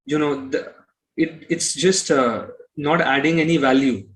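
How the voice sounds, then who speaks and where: A man's voice: mid-range voice, unhurried. A man speaking as an interview guest, blunt and opinionated, often repeating himself. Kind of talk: conversational interview.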